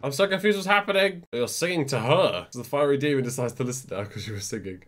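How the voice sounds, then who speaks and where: Young British reaction host, chatty and excitable, lively range, headset mic, faint music bed. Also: male voice, mid-range voice, quick pace. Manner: excitable, laughs often, chatty. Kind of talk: casual reaction commentary. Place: small bedroom studio.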